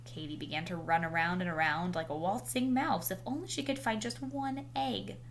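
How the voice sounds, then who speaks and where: A woman reading a children's picture book aloud with animated, dramatic emphasis and drawn-out words. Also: mid-range voice. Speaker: storybook reader. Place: indoors, small room at home. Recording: noisy home video recording.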